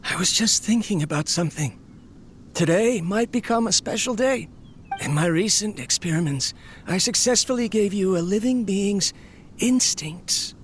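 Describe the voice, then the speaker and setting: An actor playing a tired, lonely inventor speaking softly to his machine in a game. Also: sits high, expressive, normal speed, a little hiss or hum. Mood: weary. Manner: tired, melancholy monologue. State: ill, coughing, weakening. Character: weary lonely man, a failing inventor talking to his machine. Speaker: game character.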